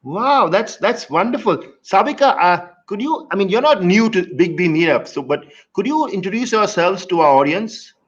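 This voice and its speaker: Lively male host, dull lo-fi audio. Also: high-pitched, moderate pace, few pauses. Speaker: interview host. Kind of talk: online interview.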